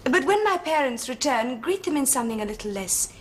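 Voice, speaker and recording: A cool, haughty young upper-class English lady in a 1970s television period drama. Her fairly high voice is crisp and condescending and moves with lively rises and falls. A faint hiss lies beneath the old broadcast sound. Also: a little fast. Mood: cutting.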